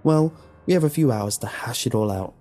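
Man voicing a forceful, high-pitched jealous mistress. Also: animated, fairly quick, lightly acted. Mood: triumphant, demanding. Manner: forceful, emphatic syllables. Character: jealous, demanding, frantic young mistress. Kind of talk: dramatic audio story dialogue.

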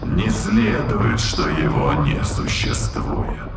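An actor playing an ancient spirit inhabiting an ape's body, haughty and menacing, speaking in solemn, archaic, contemptuous phrases in an audio drama. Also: male voice, low-pitched, lively intonation, slow, vocal fry, full character voice. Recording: echoing, distant voice under loud music.

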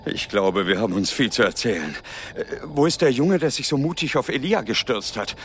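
A gentle father soothes his child with tender, very varied intonation. It is radio play acting with faint background noise. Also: mid-range voice, expressive, fairly quick. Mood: soothing. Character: tender, relieved father of a young girl.

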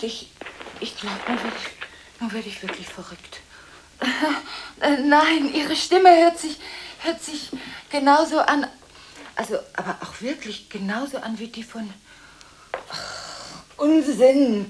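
An actress in a radio drama playing an emotionally torn daughter on the phone, halting and often near a whisper, on a hissy recording. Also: female voice, high voice, lively intonation. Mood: agitated, pleading. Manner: halting, stammering, pleading, breaking into whispers. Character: distraught, emotionally torn daughter on a late-night phone call. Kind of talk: 1980s German radio drama acting.

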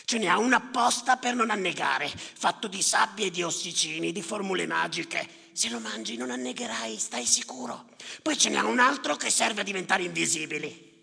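An actor playing a bitter, sardonic storyteller of sea tragedies in a theatrical monologue, declamatory and repetitive, with a gravelly voice. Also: male voice, high voice, expressive, moderate pace, full character voice. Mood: haunted, sardonic. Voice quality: gravelly, creaky. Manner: declamatory, repetitive, swinging from muttering to shouting. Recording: reverberant theatre with live music behind. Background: live music.

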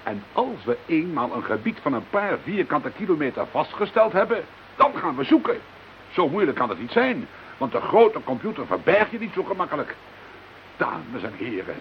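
A man's voice: a little high, very animated. A determined chief inspector in a radio play. His intonation moves widely as he explains things methodically. The sound is dull, with a faint hiss. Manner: deliberate, explanatory. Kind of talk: radio drama acting. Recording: dull, hissy radio drama recording.

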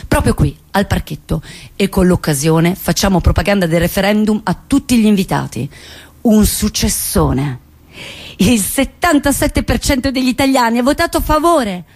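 An actress playing an embittered, outspoken woman in an intense, emphatic stage monologue with deliberate pauses. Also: medium pitch, a little mellow, natural voice. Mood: urgent, hopeful. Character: embittered outspoken woman recounting her lost friend and trial. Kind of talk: dramatic theatrical monologue.

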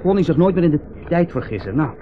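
An actor playing an anxious, fussy husband in a radio drama, speaking in fretful, hesitant short phrases. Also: male voice, on the high side, big pitch swings, quick pace. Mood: uneasy. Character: anxious, fussy husband on a hot holiday trip. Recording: muffled, noisy 1970s radio recording.

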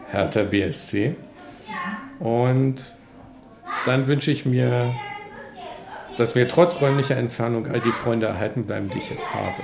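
A woman talking casually and reflectively, in measured, deliberate phrases. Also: rumbling low, darkish tone. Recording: echoey room, faint noise.

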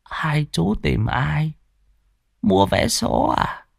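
A man's voice: fairly high pitch, very animated, drawn-out words, creaky, husky, no room sound, clean studio sound, pitched above the performer's own voice, heavily acted. A man voicing a frail old woman who sells lottery tickets, speaking weakly with a stammer and on the verge of tears. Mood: tearful. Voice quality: weak, trembling, stammering.

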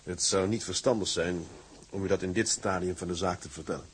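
A male actor playing a resolute, stubborn tugboat captain in a drama, with a rough, husky voice and a firm, formal manner. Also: sits low, steady tone, fairly quick, a little hiss or hum, close to an everyday voice. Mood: determined.

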